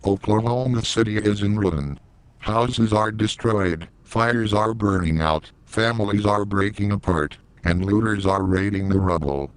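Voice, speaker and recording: A man's voice: lowish voice, a little slow, clear, resonant tone, no echo, poor audio. A man reading scripted disaster news narration as an announcer. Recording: loud music behind the voice. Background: loud music.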